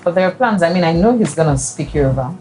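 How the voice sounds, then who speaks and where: Low-pitched woman, guest, casual and teasing, music clear behind her. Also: animated, quick pace, a little mellow. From Nigeria. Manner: chatty, light teasing. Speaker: guest, wife. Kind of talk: casual podcast chat.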